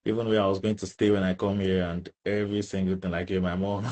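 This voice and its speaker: A man's voice: deep voice, little pitch movement, quick pace, darkish tone. A young man with a Nigerian accent, answering casually as a student in an interview and often adding little tag questions. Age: young adult. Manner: informal, frequent tag questions like "right?" and "you get".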